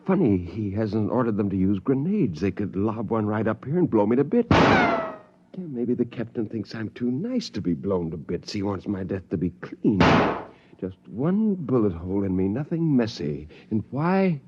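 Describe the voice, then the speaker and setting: Brooding rebel astronaut, lively swooping voice, lo-fi old radio. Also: male voice, on the low side, moderate pace, very noisy. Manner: bitter, brooding monologue. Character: bitter idealistic male astronaut turned rebel. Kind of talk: 1950s radio drama acting.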